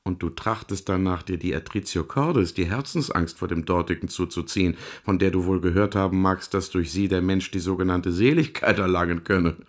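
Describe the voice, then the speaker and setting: An actor playing a sardonic, eloquent devil in a literary radio drama, gravelly and theatrical, savouring each word with mockery. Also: male voice, deep voice, a little fast, few pauses. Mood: scornful. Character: sardonic, eloquent devil lecturing on Hell's torments.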